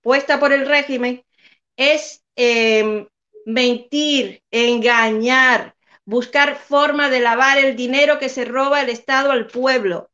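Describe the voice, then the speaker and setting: A woman's voice: sits high, steady tone, takes it slowly, bright, forceful tone, lo-fi sound. A young Cuban-born woman with a Spanish accent, speaking as a guest and pressing her points with impassioned repetition. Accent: Spain Spanish. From Cuba. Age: young adult. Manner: impassioned, repeats phrases for emphasis. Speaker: guest, civic group representative. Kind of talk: earnest advocacy in a live chat.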